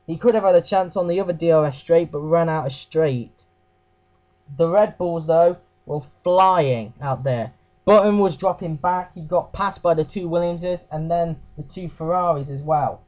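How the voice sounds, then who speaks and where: A man with a croaky voice giving a casual race recap, hesitant, with fillers and self-corrections. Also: a little high, normal speed, darkish tone, muffled recording. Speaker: race review host.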